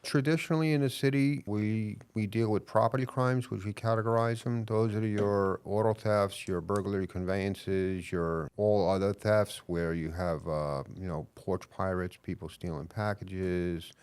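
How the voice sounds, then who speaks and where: A middle-aged man with a New York accent and a deep, smooth, resonant voice. He speaks as a police chief in a relaxed interview, earnest and measured and often pausing on fillers. The sound is close and dry, with no room around it. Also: dry recording. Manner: earnest, measured, frequent hesitations. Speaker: police chief, podcast guest. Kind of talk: casual interview.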